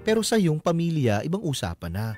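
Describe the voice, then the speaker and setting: A man's voice: on the high side, huge pitch swings, fairly quick, a little mellow. A man hosting a radio advice show, explaining conversationally with personal examples and often checking for agreement. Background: music.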